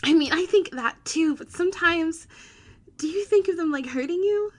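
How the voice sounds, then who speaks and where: A woman playing a giggly, confiding teenage girl at a sleepover in an audio drama, halting and nervous. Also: very high voice, expressive. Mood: shy, confiding. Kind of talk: intimate audio drama acting.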